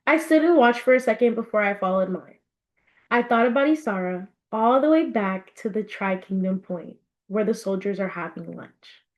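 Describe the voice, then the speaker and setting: A woman reading her own fiction aloud as an expressive storybook narration. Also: mid-range voice, moderate pace, rough recording.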